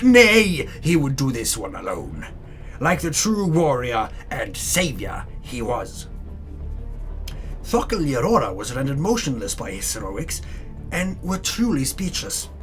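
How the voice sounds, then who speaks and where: A man's voice: medium pitch, a bit bright. A man giving a dramatic, mock-epic narration of a fantasy campaign recap, grandiose in delivery with dry, tongue-in-cheek asides. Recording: music under the voice. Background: background music.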